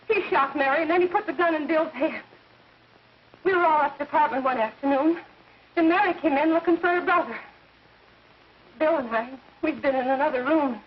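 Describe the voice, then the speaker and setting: An actress playing a guilt-ridden, distraught woman making a halting, anguished confession in 1930s melodramatic film style. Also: very high-pitched. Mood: urgent, agitated. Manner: anguished, halting, tearful delivery. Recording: dull noisy 1930s film soundtrack.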